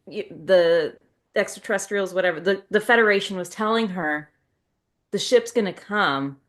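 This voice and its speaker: A woman's voice: medium pitch, moderate pace, fairly bright tone. A middle-aged woman chatting casually as a podcast host, retelling things in a wry, self-mocking way with quoted dialogue.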